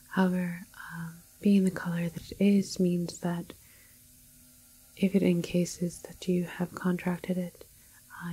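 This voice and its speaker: Slow, whispering woman, ASMR doctor. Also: on the low side, slightly rough, poor audio. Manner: hesitant, halting, gentle clinical explaining. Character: calm, apologetic female doctor in a quarantine facility. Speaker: ASMR performer playing a doctor. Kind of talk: ASMR roleplay, soft whispering and hushed talk.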